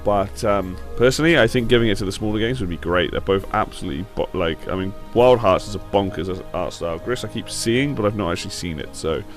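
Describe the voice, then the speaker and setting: Male gaming host, lowish voice, a bit croaky with a sore throat, informal and blunt, soft music behind. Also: fast talker, hardly any pauses. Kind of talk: casual opinion commentary on video games.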